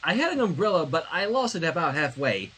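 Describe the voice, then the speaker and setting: A man's voice: on the high side, very animated, fairly quick, fairly bright tone, slight background noise. A man voicing a friendly young princess in human form in a fan fiction reading. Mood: apologetic, casual.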